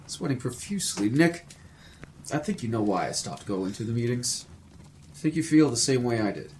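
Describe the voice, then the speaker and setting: Animated, anxious professor played by a man. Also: mid-range voice, normal speed, slight background noise, lightly acted. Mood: worried, caring. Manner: expressive, fretful, fatherly. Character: kindly, anxious male college professor. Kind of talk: audio drama acting.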